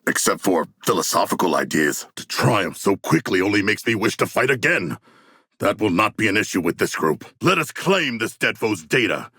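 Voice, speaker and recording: An actor playing a huge, solemn shark warrior in a video game, eager for battle, speaking in formal, stilted phrases with a raspy, gravelly voice. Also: male voice, fairly low pitch, sing-song, moderate pace, sharp tone, dry recording, clean studio sound, full character voice. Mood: solemn, deadpan. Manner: formal, stilted, grandiose diction without contractions. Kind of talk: video game character voice lines.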